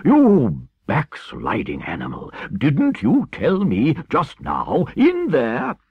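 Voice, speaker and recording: A stern, righteous older badger as a male narrator plays him, orating slowly and emphatically with commanding weight. The storybook voice is raised above the reader's own, dry and slightly dull in the recording. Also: lowish voice, very animated, breathy edge, dry recording, pitched above the performer's own voice, full character voice. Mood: scandalized. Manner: solemn, slow, emphatic oratory.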